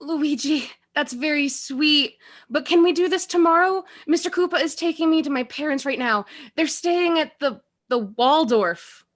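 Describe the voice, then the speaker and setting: A woman's voice: high-pitched, moderate pace, natural voice. A woman reading a sweet, polite young flower-shop worker in an amateur screenplay read. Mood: sweet, apologetic.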